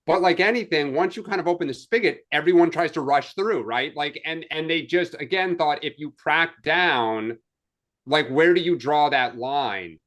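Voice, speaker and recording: Slightly high-voiced man thinking aloud. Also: moderate pace, fairly bright tone. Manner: conversational, hedging, thinks aloud. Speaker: co-host. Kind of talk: casual political chat.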